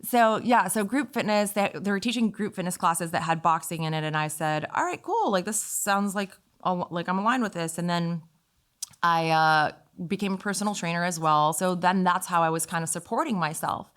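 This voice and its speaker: A woman from Italy talking as an interview guest, telling stories in a casual, animated, informal way. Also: lowish voice, normal speed. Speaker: podcast guest, fitness instructor. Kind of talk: casual conversational storytelling.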